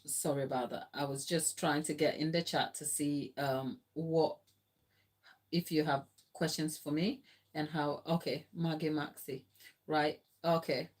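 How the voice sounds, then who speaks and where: A woman's voice: sits low, fairly even intonation, a little slow, a little mellow. A middle-aged woman with a Ghanaian accent, a non-native English speaker, giving a casual, explanatory live tutorial and chatting with viewers. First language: not English. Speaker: cake decorating instructor. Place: home kitchen, indoors.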